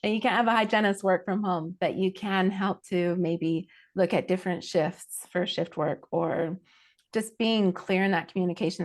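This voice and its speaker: A woman giving conversational advice as a panel guest and coach, in an earnest, reflective way. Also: fairly low pitch.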